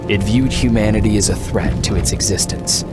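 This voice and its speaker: A low-voiced, weary male veteran played by a man narrates a war against machines for a video game. The delivery is solemn and measured. Loud music runs underneath. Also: close to an everyday voice. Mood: grim, solemn. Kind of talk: scripted cinematic game narration. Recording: loud music bed behind voice.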